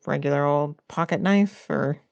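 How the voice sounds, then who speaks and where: A woman's voice: low voice, normal speed, creaky, no room sound, dull audio. A woman playing a tabletop roleplaying game, narrating her character's actions. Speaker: player in an audio roleplaying show.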